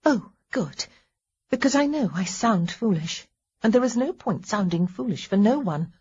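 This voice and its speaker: A breathy, tender young woman from a storybook, nervous and hopeful, chattering with a slight tremble, as a female narrator puts her on. Her voice sits higher than the narrator's and swings up and down. The recording sounds dull and muffled. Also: lively intonation, moderate pace, a little mellow. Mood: nervous cheer. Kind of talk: audiobook character voice.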